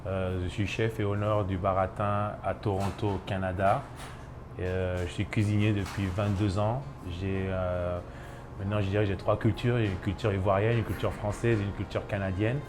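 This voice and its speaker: A man's voice: fairly low pitch, little pitch movement, normal speed, background noise. A man in his thirties from Ivory Coast, a chef and restaurant owner, speaking in a documentary interview with many hesitations and restarts.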